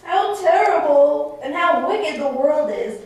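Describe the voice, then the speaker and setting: Woman as a high-voiced young island princess. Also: expressive, takes it slowly, heavily acted. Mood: earnest, pleading. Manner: earnest, lyrical, theatrical. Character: sensitive young princess, wild island-raised daughter of a magician. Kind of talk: stage puppet-play acting. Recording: very echoey room, distant microphone.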